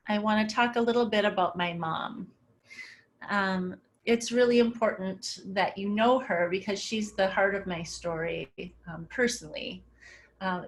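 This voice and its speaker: A woman's voice: medium pitch, fairly bright tone, a little hiss or hum, low-quality recording. A middle-aged woman giving a webinar talk over slides, in a casual, conversational way with many filler words. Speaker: webinar presenter.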